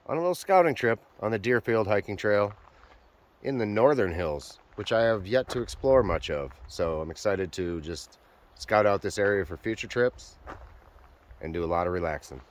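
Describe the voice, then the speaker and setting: A young man vlogging on a backpacking trip, talking casually with many fillers, his voice nasal from a cold and a sore throat. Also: fairly low pitch. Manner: relaxed, rambling, many uh fillers. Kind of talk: casual vlog talk to camera. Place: outdoors on a hiking trail.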